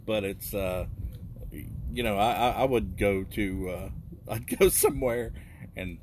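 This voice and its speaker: Brief: fifties male, mild Southern US accent, low voice, relaxed storyteller; faint background hiss. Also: takes it slowly. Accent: Southern US, slight. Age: 50s. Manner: easygoing, chuckling storyteller. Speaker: podcast co-host. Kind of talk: casual chat.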